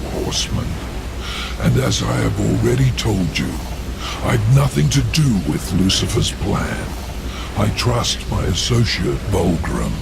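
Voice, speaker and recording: A man acting a sly, lordly demon schemer with a gravelly voice and condescending courtesy in a video game cutscene. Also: mid-range voice, heavy background noise.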